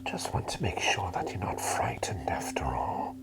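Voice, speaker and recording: A man playing a gentle, doting plague doctor in an ASMR roleplay, whispering soothingly in a politely genteel, rambling way. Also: deep voice, sing-song, moderate pace. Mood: tender, soothing. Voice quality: soft breathy whisper. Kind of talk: ASMR roleplay, personal attention.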